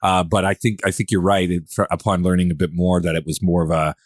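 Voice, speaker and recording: A man's voice: deep voice, flat delivery, fast talker, no room sound, studio quality. A man hosting a casual podcast discussion, agreeable and rambling as he thinks aloud. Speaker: podcast host.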